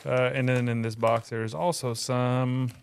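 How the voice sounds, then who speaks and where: Easygoing Texan podcast co-host. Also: male voice, mid-range voice, flat delivery, moderate pace, vocal fry, clean tone. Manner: easygoing, joking, unboxing mail. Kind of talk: casual chat.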